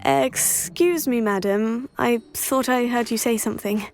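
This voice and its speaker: A polite young witch from a fantasy game, voiced by a woman, composed and curious. Her voice sits slightly high, with faint music behind it. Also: a little slow, darkish tone, lightly acted. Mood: polite, interested. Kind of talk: video game dialogue acting.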